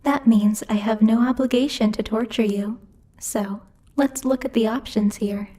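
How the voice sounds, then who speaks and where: A woman's voice: on the high side, fairly even intonation, moderate pace, dark tone, slight background noise, natural voice. A woman playing a bossy, haughty demon lord who teases and mocks imperiously in a theatrical ASMR roleplay. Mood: businesslike, smug. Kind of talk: ASMR roleplay, scripted character acting.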